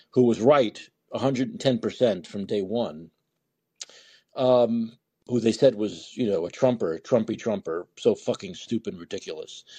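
A man's voice: medium pitch, mellow, slightly rough. A man hosting a call-in talk show, blunt and sarcastic, rambling freely through his opinions in live monologue.

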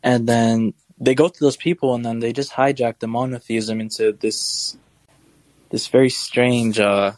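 A man's voice: medium pitch, steady tone, measured pace, no echo. A young man calling in to a podcast, telling a rambling story and asking questions in a chatty, casual way. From United States. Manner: rambling, chatty, laughs while telling stories. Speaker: caller.

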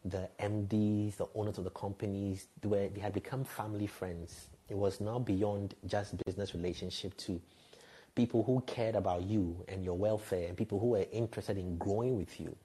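A man's voice: on the low side, fairly even intonation, normal speed, warm tone, husky, rough recording. A man, a radio and TV presenter telling frank, reflective stories as a podcast guest. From Ghana. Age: 30s. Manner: reflective, frank, laughs lightly at himself. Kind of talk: candid conversational storytelling.

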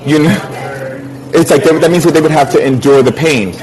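A man's voice: fairly high pitch, a little fast, a little mellow. A man speaking casually and candidly in a group debate, often restarting and circling back to his point. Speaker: participant in discussion. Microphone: remote app call.

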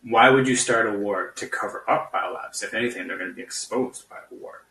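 In an echoey room, somewhat far from the mic, a man argues politics casually. His voice is lowish but bright and forceful, and he talks in a halting, skeptical way. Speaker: podcast guest caller.

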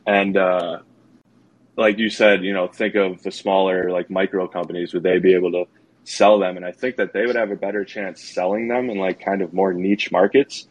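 Low, level-toned man, casual podcast co-host, hedging a lot. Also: normal speed, low-quality recording. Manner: relaxed, hedging, many fillers. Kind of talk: casual chat.

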